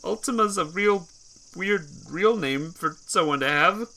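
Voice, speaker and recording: A man live-streaming a visual novel, reading the text aloud and breaking off for casual, halting asides to his viewers. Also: sits high, bright, forceful tone. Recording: music behind the voice.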